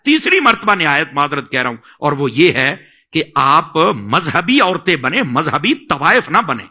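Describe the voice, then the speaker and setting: A man speaks in a very bright, forceful voice that sits a little high. His pitch moves in an animated way as he admonishes listeners like a religious scholar, repeating phrases for emphasis. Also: normal speed. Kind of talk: religious admonition in a talk show.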